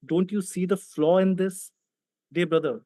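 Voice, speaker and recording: A man's voice: high voice, steady tone, measured pace, warm tone, no room sound, clean studio sound. A man with an Indian accent, speaking English as a non-native language, argues confrontationally in a debate and reads out texts. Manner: confrontational, rhetorical questions. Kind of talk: argumentative debate, reading out texts.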